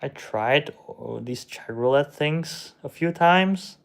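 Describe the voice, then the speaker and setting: Slow hesitant young man reading chat. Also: a little high, lively intonation, creaky. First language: Czech. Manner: slow, hesitant, mumbling, shrugging replies. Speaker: livestream host reading chat. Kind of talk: slow casual livestream chat.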